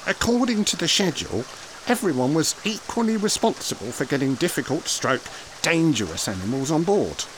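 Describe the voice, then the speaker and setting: A pedantic, officious man in a comedy audio drama. His voice is on the high side and moves up and down a lot. Faint rain noise sits behind him. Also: big pitch swings, moderate pace, rough recording. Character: fussy, pedantic man devoted to schedules.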